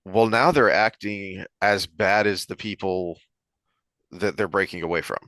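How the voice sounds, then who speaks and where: A man's voice: low voice, measured pace, a bit bright, no room sound, low-quality recording. A man co-hosting a discussion podcast, talking conversationally in a thoughtful, explanatory way that wanders into side points. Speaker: podcast host discussing books.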